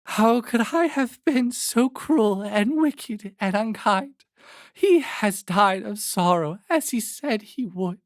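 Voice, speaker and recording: A man's voice: expressive, slow pace, dry recording, studio quality, exaggerated voice. A man voicing a tender, remorseful young woman in a storybook, lamenting earnestly. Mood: grief-stricken. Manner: high-pitched, earnest lamenting. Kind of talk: audio storybook character acting.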